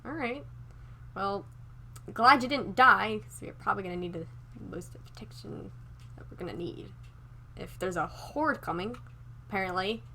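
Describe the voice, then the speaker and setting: A woman's voice: mid-range voice, animated, moderate pace, long pauses, bright, forceful tone, a little hiss or hum. A woman playing a wary medical assistant in an improvised zombie roleplay game, casual, hesitant and a little sardonic. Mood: worried.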